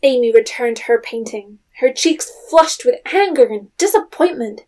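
A woman's voice: a little high, animated, moderate pace, a little mellow. A woman narrating an audio drama in a scripted storytelling style. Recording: music underneath.

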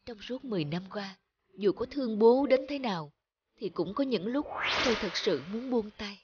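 A woman playing a gentle, sorrowful young woman in a cartoon drama, speaking earnestly and plaintively. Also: a little high, lively intonation, moderate pace, dull audio, crowd noise, lightly acted. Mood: sad, subdued. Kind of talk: cartoon drama acting with reflective narration.

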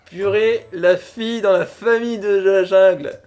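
A young woman co-hosting a gaming talk show live stream, teasing and animated as she runs a guessing game. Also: medium pitch, soft background music. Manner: teasing, animated, quick laughs. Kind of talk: playful livestream quiz chat.

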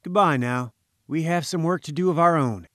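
A man's voice: fairly high pitch, normal speed, fairly bright tone, dry recording, clean studio sound, pitched above the performer's own voice, lightly acted. A man voicing a genial, wry journalist in a storybook, friendly but firm, with breezy old-chap slang.

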